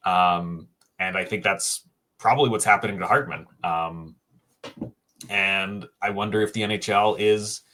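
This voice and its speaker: Low-voiced man musing over hockey. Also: bright, forceful tone. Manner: thinks aloud with frequent "um" pauses and self-restarts. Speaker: co-host, hockey writer. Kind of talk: casual sports podcast chat.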